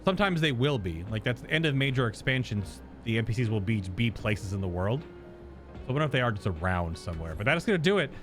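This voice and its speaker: A man's voice: mid-range voice, big pitch swings, quick pace, a bit bright, soft background music. A man giving casual, informal reaction commentary as a game streamer, joking and swearing freely.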